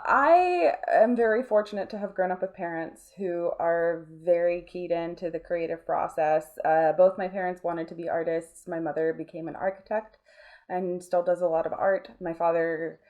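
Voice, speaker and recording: A woman with a soft-edged, mellow voice that sits a bit lower than most. She speaks as a guest in a casual interview, in an informal, rambling and self-deprecating manner. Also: dull audio. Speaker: interview guest, audio drama producer.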